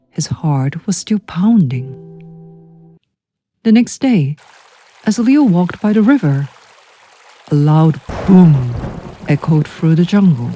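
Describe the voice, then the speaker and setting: A woman reads a children's story in an expressive storyteller's style. Her voice is mellow and very animated in pitch, with slow, deliberate phrasing over background music.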